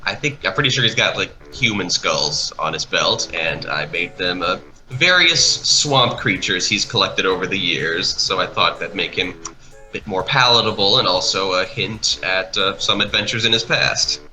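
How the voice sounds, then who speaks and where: Male guest, low pitch, very sharp bright tone, enthusiastic and halting, conversational. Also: animated, soft background music, low-quality recording. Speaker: guest, creator and voice actor. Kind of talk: casual chat, commentary over an art video.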